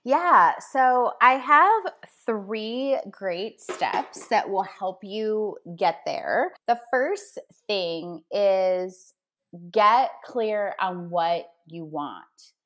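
A woman appearing as a guest coach on a podcast, giving energetic, motivational advice in clear numbered steps. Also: medium pitch, extremely slow.

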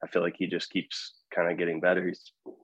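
A man answering interview questions in a relaxed, hesitant way, full of fillers and restarts. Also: sits low, quick pace, breathy edge, lo-fi sound. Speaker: podcast guest.

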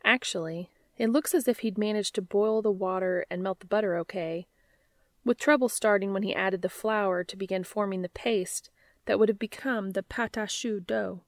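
A woman narrates a piece of fiction in the manner of an audiobook. Her voice sits at an average female pitch and is recorded close and dry, with very little room sound. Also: moderate pace, no room sound. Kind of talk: scripted story narration.

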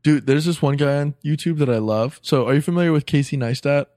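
A man's voice: fairly high pitch, fast talker, mellow, no room sound, clean studio sound. A man speaking as a comedian guest on a podcast, chatting casually with slangy enthusiasm. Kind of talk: casual chat.

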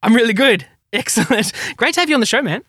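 A man's voice: very high voice, animated, quick pace, pushed and bright, husky, no echo, clean studio sound. A man hosting a health technology podcast, upbeat and chatty as he introduces his guest. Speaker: podcast host. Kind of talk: podcast interview.

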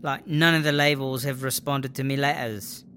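A woman's voice: rumbling low, steady tone, normal speed, a bit bright, a little hiss or hum. A woman playing a brash, sulky inmate rapper in a comedy audio drama. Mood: dejected bragging.

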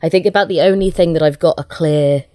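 A woman with a low voice answers interview questions in a casual way. She feels her way through sentences, stopping and rephrasing. The sound is dry and close, with very little room on it. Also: warm tone, no room sound. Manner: halting, self-correcting. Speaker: interview guest, audio drama writer.

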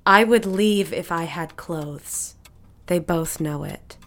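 A woman's voice: lowish voice, slow pace, a bit bright. A woman playing a bitter, forgetful bedridden housewife in an audio drama, sardonic and imperious, with a drawling delivery.

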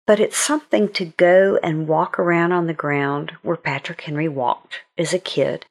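Low-pitched woman presenting history. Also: steady tone, normal speed. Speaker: author presenting a history segment. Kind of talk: informal educational talk for children.

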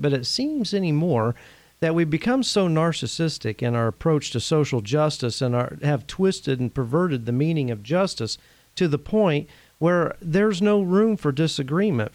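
Earnest man, slightly rough post-cold voice. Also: on the high side, darkish tone, no echo. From Indiana. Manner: earnest, conversational, laughs now and then. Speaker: podcast host. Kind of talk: casual faith-based commentary.

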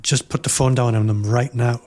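A man hosting a podcast interview, talking in a reflective, measured way and building his points through repetition. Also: medium pitch, fairly even intonation, a little mellow. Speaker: podcast host.

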